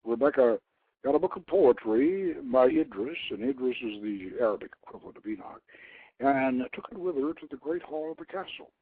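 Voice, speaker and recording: An older man hosting a radio show, reading aloud and commenting informally in a deliberate storyteller's manner. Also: mid-range voice, animated, husky. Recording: narrow phone-like line, dry.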